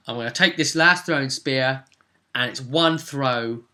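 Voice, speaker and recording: A man's voice: mid-range voice, unhurried, sharp tone. A man working as game master, recapping a tabletop role-playing session in an informal, rambling storytelling way.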